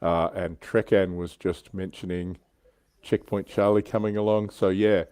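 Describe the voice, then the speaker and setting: A man's voice: deep voice, steady tone, measured pace, a little mellow, clear, resonant tone, no echo. A man hosting a casual panel discussion on a hobby livestream. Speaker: host of a tabletop wargaming livestream.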